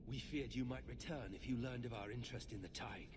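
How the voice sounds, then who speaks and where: A man's voice: medium pitch, normal speed, big-room sound, background noise, natural voice. An actor playing a grave, guarded warrior nobleman in a fantasy video game, speaking formally and seriously. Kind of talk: dramatic video game dialogue.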